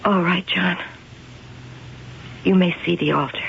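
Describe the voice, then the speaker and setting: A woman acting in a radio drama as an intense, imperious and mysterious figure who claims to be a cat goddess, speaking solemnly and deliberately. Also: lowish voice, fairly even intonation, vocal fry, background noise. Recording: dull lo-fi 1980 radio broadcast.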